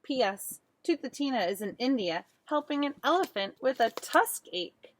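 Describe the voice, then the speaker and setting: A woman's voice: high voice, animated, normal speed, a little hiss or hum, pitched above the performer's own voice. A woman voicing a haughty, fussy male Easter bunny in a storybook, formal and reproachful. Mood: miffed, condescending.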